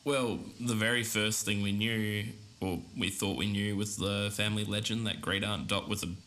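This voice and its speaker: Guy acting aussie podcast co-host, deeper voice, gentle and a bit hesitant, documentary style. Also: fairly even intonation, normal speed, natural voice. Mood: casual, explanatory. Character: gentle, casual male podcast investigator. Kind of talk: documentary podcast narration and interviewing.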